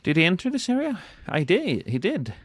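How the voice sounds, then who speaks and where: A Norwegian man streams a game live, bantering playfully with his chat. His voice sits a little high and swings widely in pitch, jumping up when he shouts at the action. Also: huge pitch swings, a little fast. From Norway. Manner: reacts loudly to in-game action.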